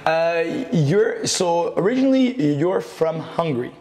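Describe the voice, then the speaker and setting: Gravelly-voiced man hosting an interview. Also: a little high, very animated, measured pace, darkish tone. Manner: conversational, rephrases his questions. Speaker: host. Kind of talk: casual interview. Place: studio set with plant wall and neon sign.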